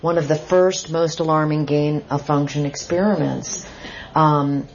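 A woman's voice: sits low, steady tone, unhurried, slight background noise. A woman author answering audience questions at a book talk, explaining points emphatically with frequent restarts. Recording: dull lo-fi live event recording.

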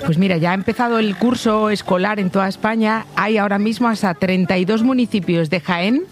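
A woman's voice: medium pitch, a little fast, over music. A woman with a Peninsular Spanish accent giving opinion commentary on a morning radio show.

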